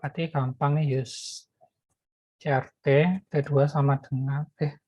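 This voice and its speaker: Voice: medium pitch, level tone, measured pace, warm tone, dry recording. A lecturer explaining programming while typing code, thinking aloud with many fillers and restarts. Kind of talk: live coding lecture.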